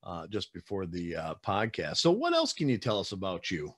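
A chatty man in his forties with an Upper Midwest American accent hosts the podcast from a book-lined room at home. His voice is a bit low, and he rambles along and clears his throat now and then as he talks through the video call. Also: huge pitch swings, moderate pace, a bit bright. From South Dakota, United States. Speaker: podcast host. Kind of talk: casual podcast interview. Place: home study with bookshelves.

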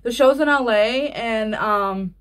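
A woman's voice: on the high side, takes it slowly, fairly bright tone, smooth. A young adult woman vlogging at home, chatting casually and informally to the camera in a rambling way. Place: small room at home, indoors.

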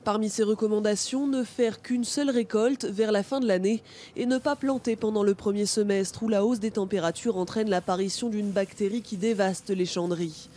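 A woman narrating a TV news report as scripted voice-over, with a measured, even delivery. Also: medium pitch.

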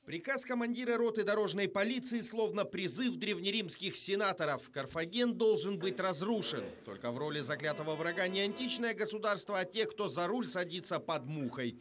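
A man's voice: high voice, expressive, a little fast, few pauses, crowd noise. A male reporter reading a scripted news voice-over with a wry, ironic touch.

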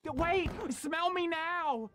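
A man playing a naive, friendly misfit alien with oddly mangled grammar in an animated film. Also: very high-pitched, drawn-out words, dry recording, studio quality, exaggerated voice. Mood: pleading.

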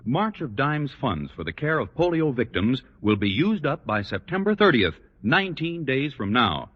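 Male American 1940s ad announcer: swooping, emphatic, slightly husky, polished sales read on a dull old broadcast. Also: medium pitch, big pitch swings, normal speed. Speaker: commercial announcer. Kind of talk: 1940s radio advertising read. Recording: dull, reverberant vintage radio broadcast.

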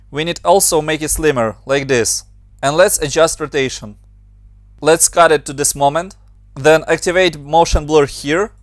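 Ukrainian-accented male tutor, a bit higher voice, very dry close mic, patient step-by-step walkthrough. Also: no room sound. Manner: plain, step-by-step instructions with slightly non-native grammar. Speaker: motion designer and tutorial maker. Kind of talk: screen-recorded software tutorial.

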